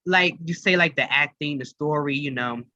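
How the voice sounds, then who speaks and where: A man with a bright, slightly high voice chats casually as a podcast host. He talks haltingly, repeating words, on a dry, slightly dull recording. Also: no echo. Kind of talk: casual chat.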